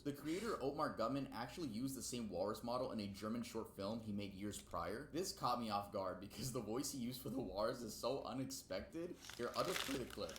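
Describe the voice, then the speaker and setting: Guy narrating a youtube countdown, lowish voice, talks quick, roomy echo with a bit of noise. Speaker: YouTube host narrating a list video. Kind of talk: informal video narration with personal asides.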